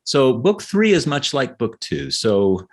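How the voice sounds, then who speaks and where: A man's voice: medium pitch, huge pitch swings, slow pace. A man talking as an author in a conversational podcast interview, earnest and explanatory, often restarting his phrases.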